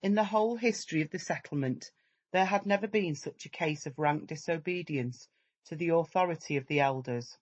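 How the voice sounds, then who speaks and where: A man narrating a literary story as a measured, dramatic audiobook reading. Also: a little high, lo-fi sound.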